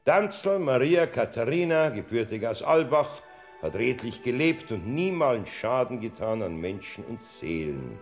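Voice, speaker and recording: An actor playing Saint Peter as a fussy but kindly older heavenly gatekeeper, with a Bavarian accent, in a classic radio play. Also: male voice, medium pitch, sing-song, measured pace, soft background music. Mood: reading. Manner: soothing, turns flustered and bossy.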